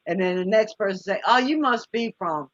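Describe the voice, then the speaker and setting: A woman's voice: medium pitch, expressive, moderate pace, dry recording. A woman from the US East Coast with an in-between Mid-Atlantic American accent, chatting in a friendly way and agreeing often. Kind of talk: casual chat. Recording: dull lo-fi dry recording.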